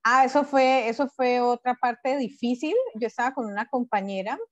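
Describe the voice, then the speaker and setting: A woman's voice: on the high side, fairly even intonation, normal speed. A woman from Cali with a Colombian accent, speaking casually as a guest in a testimonial interview. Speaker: interview guest, former exam candidate. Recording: dull, lo-fi audio.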